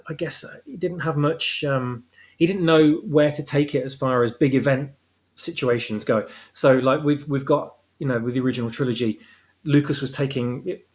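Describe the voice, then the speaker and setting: A man in a relaxed discussion, speaking as a guest writer. His voice sits at an ordinary middle pitch. He explains himself haltingly, doubling back over words as he goes. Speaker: guest author of fan fiction audio dramas. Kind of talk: casual discussion.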